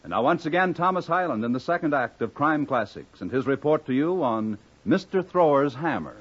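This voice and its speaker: A man's voice: a little high, big pitch swings, brisk, a little hiss or hum. Male 1950s radio announcer, dull old recording.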